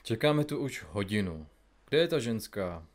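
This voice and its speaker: A man playing a grumpy, stoic detective in an audio drama, grumbling in a dry, deadpan manner. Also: lowish voice. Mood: irritated. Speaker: detective in a comedy mystery podcast.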